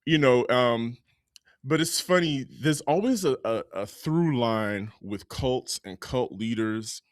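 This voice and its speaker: A man's voice: medium pitch, animated, takes it slowly, no echo, clean studio sound. A man co-hosting a podcast in casual talk, emphatic and repeating words for effect. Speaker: podcast co-host.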